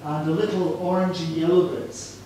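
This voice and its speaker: A man's voice: fairly high pitch, little pitch movement, moderate pace, mellow. A Scottish man in his sixties speaking informally to students about a drawing he made, self-mocking and asking the audience questions. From Scotland. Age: 60s. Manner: self-deprecating, chatty, asks the audience questions. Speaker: storyteller presenting his artwork. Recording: echoey room, distant mic, background noise.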